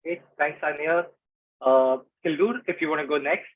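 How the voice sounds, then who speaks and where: A man's voice: fairly high pitch, normal speed. A man moderating an online panel, brief and inviting speakers in turn. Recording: tinny phone-like call audio.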